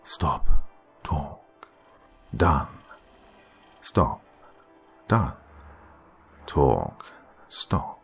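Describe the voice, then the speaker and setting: A sinister, inhuman presence, acted by a man, speaks from inside the head in a dark horror tale. It is gravelly and low, a scratching whisper that issues terse, broken orders over faint music. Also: sing-song, extremely slow, long pauses, full character voice. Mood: insistent, commanding. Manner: broken, clipped commands.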